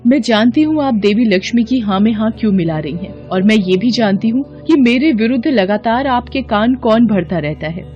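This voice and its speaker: A woman's voice: a little high, lively intonation, moderate pace, few pauses, darkish tone, dull audio, faint music behind, close to an everyday voice. A woman playing an indignant, jealous goddess. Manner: accusing, indignant. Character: jealous, quick-tempered goddess of knowledge, female.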